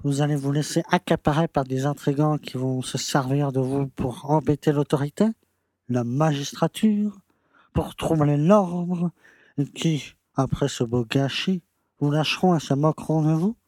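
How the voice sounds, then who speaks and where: A man's voice: on the high side, unhurried, a little mellow, no room sound, close to an everyday voice. A man playing a pompous, condescending and evasive small-town mayor in an audio drama. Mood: patronizing. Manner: pompous, patronizing.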